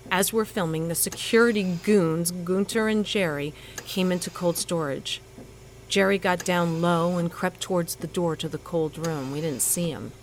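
A woman's voice: on the low side, moderate pace, close to an everyday voice. An actress playing a determined woman doctor in an audio drama, switching between narration and urgent, emotive dialogue. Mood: composed, resolute. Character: determined, bossy woman doctor and scientist with powers. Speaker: narrator and lead actor in a fiction podcast.

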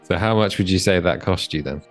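A man hosting a podcast, asking his guest questions in a hesitant, halting way. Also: sits low, dry recording, soft background music. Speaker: podcast host. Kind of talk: interview questions.

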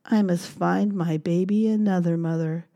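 A woman's voice: fairly low pitch, fairly even intonation, normal speed, dark tone, muffled recording, lightly acted. A woman voicing a fretful mother mouse in a storybook reading, with a fretting, sing-song manner.

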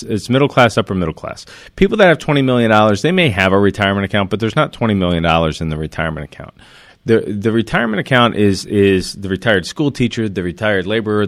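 A man's voice: lowish voice, dry recording, studio quality. A man explaining retirement rules in an earnest, emphatic way, working through number examples and often restarting his sentences. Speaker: financial commentator. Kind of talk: explanatory talk to camera.

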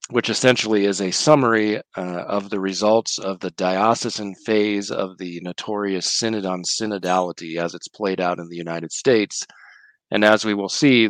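A man with a slight cold hosting a religious news roundup, speaking in a measured, earnest way. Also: fairly low pitch, dry recording.

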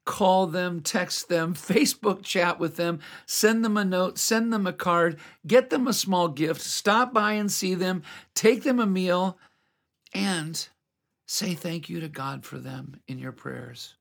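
A man hosting a devotional program, reading a scripted message in an earnest, reflective, unhurried way. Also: high voice, vocal fry.

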